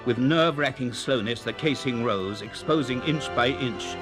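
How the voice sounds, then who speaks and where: An actor playing an intense, authoritative university scientist in a radio drama, speaking with grave, deliberate urgency. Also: male voice, mid-range voice, music in the background, close to an everyday voice. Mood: grave, suspenseful. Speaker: narrator and lead actor. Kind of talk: radio drama acting and narration.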